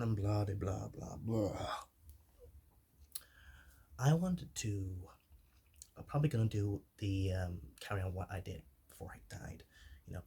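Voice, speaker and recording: A man playing an easygoing, shy zombie mentor in an ASMR roleplay, speaking softly and haltingly, often dropping into whispers. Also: on the low side, breathy edge. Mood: chatty, wistful. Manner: halting, chatty, reassuring, with sighs. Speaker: ASMR performer.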